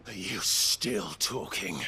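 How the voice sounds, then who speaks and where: A man's voice: low voice, expressive, slow pace, background noise. An actor playing a defiant, fiery young male warrior in a dramatic video game cutscene. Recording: loud music over the voice.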